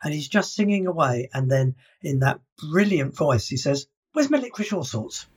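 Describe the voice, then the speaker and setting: A man's voice: on the high side, very animated, normal speed, slightly rough. A man co-hosting a podcast, chatting eagerly and casually, with stumbling restarts. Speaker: podcast co-host. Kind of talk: casual chat.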